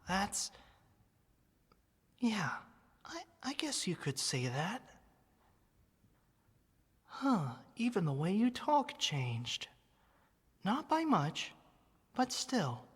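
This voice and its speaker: Woman voicing a gentle, lilting living teddy bear. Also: medium pitch, husky, in a large hall, heavily acted. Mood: curious, gentle. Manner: gentle, expressive, addressing the listener as an old friend. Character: living childhood teddy bear, affectionate, wistful, sweet. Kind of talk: ASMR roleplay monologue.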